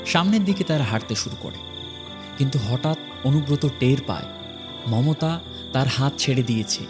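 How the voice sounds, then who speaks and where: A man's voice: mid-range voice, animated. Male horror story narrator over music. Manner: dramatic, short broken phrases with pauses. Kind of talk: scripted dramatic horror narration.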